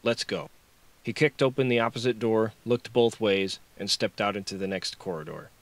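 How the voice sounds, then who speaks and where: A man's voice: lowish voice, a little fast, no room sound. A man reading dramatic, scripted action fiction as an audiobook narrator.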